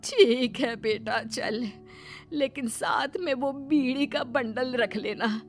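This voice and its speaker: A woman playing a dramatic, demanding old mother-in-law in a cartoon story, with drawn-out, wheedling delivery. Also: high voice, soft background music. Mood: cheeky, wheedling. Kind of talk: Hindi cartoon moral story acting.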